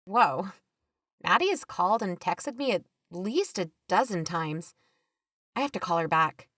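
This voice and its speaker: A woman's voice: lowish voice, expressive, unhurried, fairly bright tone, dry recording. A woman acting as a caring, level-headed peacemaker in an audio drama, speaking in a plain, conversational way. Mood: exasperated, practical. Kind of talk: scripted audio fiction dialogue.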